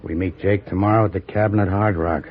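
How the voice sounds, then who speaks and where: An actor playing a sly, hard-drinking, treacherous outlaw with drawling rustic speech in an old-time radio western. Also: male voice, low voice, fairly even intonation, fast, close to an everyday voice. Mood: sly, needling. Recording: muffled old radio recording, background crowd noise.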